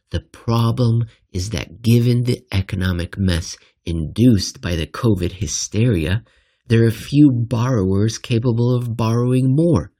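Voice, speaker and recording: A man with a low voice full of creak narrates a written essay. His tone is husky and rough, and his phrasing is deliberate and emphatic, with a wry edge. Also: warm tone. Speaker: reader of a financial essay.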